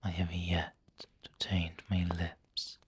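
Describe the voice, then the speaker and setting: A man playing a doting, refined vampire lord in an ASMR roleplay, speaking intimately in a breathy whisper with formal wording. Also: low-pitched, monotone, slow pace, heavily acted. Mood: patient, hungry.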